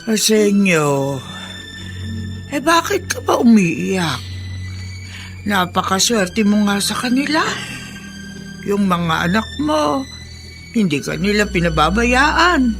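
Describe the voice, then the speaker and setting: Older filipino lady friend in radio drama, slow and very sing-song, nosy, coaxing, concerned. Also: medium pitch, a bit bright, heavily acted. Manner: coaxing, inquisitive, unhurried. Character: concerned, chatty elderly female friend.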